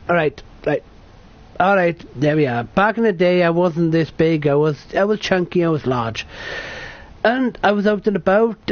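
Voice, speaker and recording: A British man in his late thirties from the UK, telling a personal story to camera in a rambling, sweary, self-correcting way. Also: high-pitched. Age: 30s. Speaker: vlogger. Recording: dull lo-fi sound.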